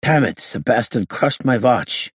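A man doing an agitated, high-strung surly gangster. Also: expressive, unhurried, husky, dry recording, clean studio sound. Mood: muttered, cursing. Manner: irritable, muttering, protesting. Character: Hincus, surly, agitated gangster just freed from ropes.

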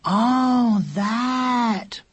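A narrator voicing a silly, chatty little boy who asks endless questions in a slow, innocent, drawn-out way in a children's story. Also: mid-range voice, sing-song, a little mellow, slightly rough, voice pushed up, full character voice. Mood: cheeky, insistent.

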